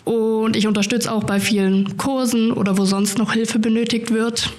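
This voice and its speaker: A woman's voice: on the high side, little pitch movement, normal speed, darkish tone. An eighteen-year-old woman volunteer introducing herself earnestly and in an orderly way. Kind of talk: interview self-introduction.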